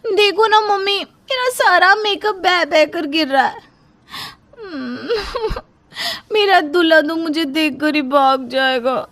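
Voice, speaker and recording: A woman's voice: very high voice, takes it slowly, a bit bright, pitched above the performer's own voice, full character voice. A woman voicing a whiny, dramatic young bride in tears for a cartoon story. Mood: crying, whiny. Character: tearful young bride fretting over melting makeup.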